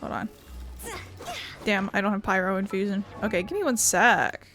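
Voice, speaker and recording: A woman's voice: medium pitch, normal speed, fairly bright tone. A woman giving casual let's play commentary, chatty and thinking aloud as she plays. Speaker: gaming streamer. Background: game music.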